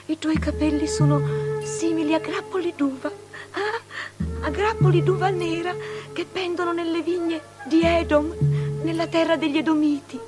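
An actress playing an imperious, sensual young princess, declaiming poetically with lingering, incantatory repetitions in a radio drama. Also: female voice, very high-pitched, steady tone, unhurried, over loud music. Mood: obsessive desire.

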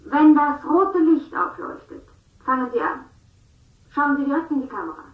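An actress playing a casting clerk who fires off brisk, curt questions in a 1970s radio play. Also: female voice, high-pitched, very animated. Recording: dull, echoey and distant.

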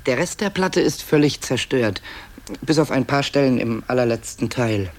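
A man's voice: medium pitch, animated, moderate pace, background noise. A man narrating a radio play as its author, in a reflective, digressive, essay-like way, addressing listeners and interrupting himself.

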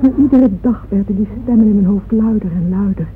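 An actress playing a grieving, lovesick woman patron who recalls her heartbreak in a halting radio drama monologue. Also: medium pitch, natural voice. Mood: anguished. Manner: hushed, halting, repeats words in anguish. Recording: muffled phone-like old radio recording, noisy.

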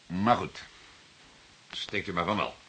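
Deep-voiced stern detective. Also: male voice, slow, a bit bright, slightly rough, exaggerated voice, rough recording. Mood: calm, dry. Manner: formal, probing, sardonic. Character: stern male police detective, patient but explosive interrogator. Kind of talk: Dutch radio play dialogue.

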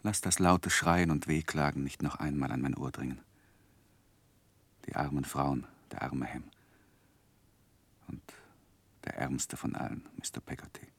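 An actor playing a sensitive gentleman who narrates painful memories in a 1960s radio play, in a measured, dramatic, emotionally weighted way. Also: very deep voice, no room sound, heavily acted.

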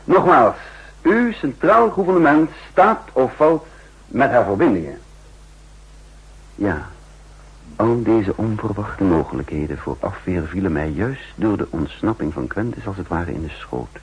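An actor playing a shrewd, insistent counter-espionage chief in a 1960s radio drama, speaking formally and emphatically with a husky voice. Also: male voice, on the low side, animated, unhurried, heavily acted. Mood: urgent, confident. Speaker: narrator and main character. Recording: muffled old 1960s radio recording.

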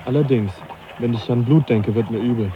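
A man acting in a German radio drama as a reserved, uneasy young gentleman naturalist, speaking in a sober, formal, dry way. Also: medium pitch, fairly quick, close to an everyday voice. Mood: uneasy, doubtful. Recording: dull, noisy 1980s radio drama.

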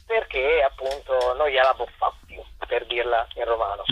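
A man's voice: medium pitch, fairly even intonation, moderate pace, slightly rough. A man from central Italy, a talkative interview guest on the phone, explaining things informally and correcting himself as he goes. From Chieti, central Italy. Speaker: actor and playwright, interview guest. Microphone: telephone. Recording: narrow phone line, roomy echo.

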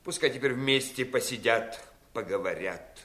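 An actor playing a menacing, mocking pirate lord in a theatrical fairy-tale radio play, wheedling and then threatening. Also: male voice, medium pitch, moderate pace, sharp tone, a little hiss or hum, natural voice. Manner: wheedling turning to threats, swooping intonation.

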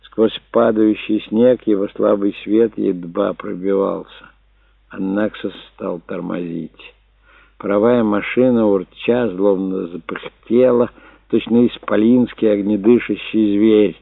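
A man's voice: lowish voice, vocal fry, dry recording. A man reading a novel aloud as a dramatic, scripted audiobook narration. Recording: dull narrow phone-like sound.

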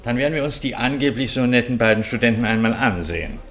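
An actor in a German radio play playing an earnest, determined gentleman adventurer, insistent and indignant. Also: medium pitch, fast, close to an everyday voice. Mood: defiant, resolute.

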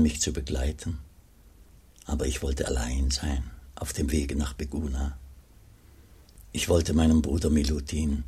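Very deep, husky, solemn old monk. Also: male voice, mellow, heavily acted. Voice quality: very deep, gravelly, breathy. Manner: solemn, measured. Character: revered pious old monk, humble, grave, yearning. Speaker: actor and first-person narrator. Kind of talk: German radio play acting.

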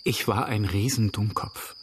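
An actor playing a courteous, regretful but hopeful gentleman suitor in a German radio drama. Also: lowish voice, unhurried, slight background noise, close to an everyday voice. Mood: rueful, hopeful.

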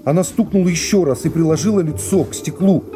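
A middle-aged man reading classic prose as an expressive dramatic narration for a radio serial. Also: on the high side, quick pace. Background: music.

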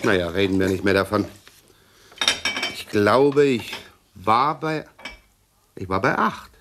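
A man's voice: fairly low pitch, animated, slow, noisy background. An actor playing a pedantic, self-satisfied middle-aged policeman husband in a radio play, fussy and blustering, repeating himself. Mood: aggrieved. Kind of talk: 1960s German radio play acting.

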